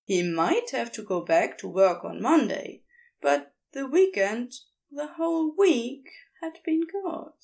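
A woman reads fiction as an audiobook narrator. Her voice sits a little high, with noticeable fry. She takes her time and varies her pitch expressively. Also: expressive, slow, darkish tone.

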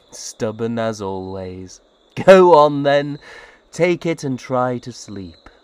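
An actor playing a gentle, guilt-ridden older brother in a fantasy audio drama, speaking in a hesitant, earnest way. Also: male voice, medium pitch, slow, clear, resonant tone, no echo. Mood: caring, teasing. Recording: insect ambience with steady background noise.

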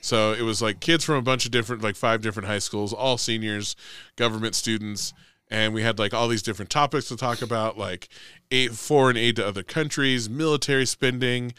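A man's voice: fairly low pitch, pushed and bright, smooth, dry recording, clean studio sound. A man in his forties co-hosting a podcast, chatting casually and ranting with profanity when angry. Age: 40s. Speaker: podcast co-host. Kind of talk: casual chat.

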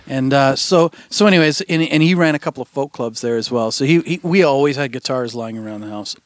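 A man's voice: medium pitch, brisk, no echo. Easygoing man telling stories in a dry room. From England (Cornwall). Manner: rambling, easygoing, filler-heavy, trails off softly. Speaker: podcast guest, musician and realtor. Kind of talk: casual interview storytelling.

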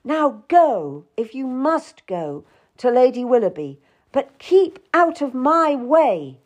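A woman's voice: medium pitch, big pitch swings, takes it slowly. A woman voicing an angry, cold man berating someone in an audiobook drama, stern and accusing. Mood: cold, urgent.

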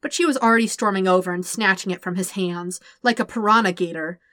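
A woman reading a story aloud as an audiobook narrator, with expressive scripted delivery. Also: mid-range voice, fast talker, dry recording, clean studio sound. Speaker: podfic narrator.

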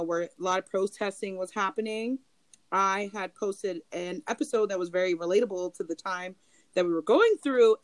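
A woman guest on a chat podcast, bubbly and excitable, telling stories casually. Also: mid-range voice, no room sound, poor audio.

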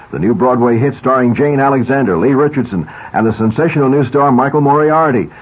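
A male station announcer reads scripted sponsor credits and advertising. His voice is mid-pitched and slightly husky, on a narrow, phone-like 1970s radio recording.

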